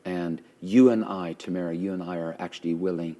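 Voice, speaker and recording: A middle-aged man, a pastor, talking with a guest in an earnest, fervent way, with a naturally loud voice, repeating phrases for emphasis. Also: low voice, fairly even intonation, a little fast. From Canada. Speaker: pastor hosting a conversation. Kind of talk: earnest conversational interview.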